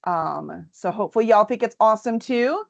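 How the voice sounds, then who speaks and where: A woman's voice: medium pitch, expressive, normal speed, creaky, dull audio. A woman hosting a livestream, chatting upbeat and casual about a book and reading its cover copy aloud. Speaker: livestream host.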